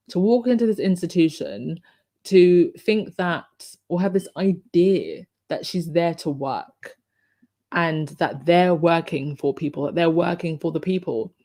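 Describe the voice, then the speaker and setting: A woman with a croaky voice hosting a casual live stream, chatting and reading out viewer comments with many ums and restarts. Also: fairly low pitch, warm tone.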